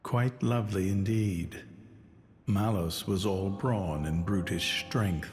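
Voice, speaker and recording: An actor voicing a grave, courteous leader in a video game, speaking in a formal, solemn and measured way. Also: male voice, sits low, a little mellow, soft background music. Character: grave, courteous male leader burdened by regret.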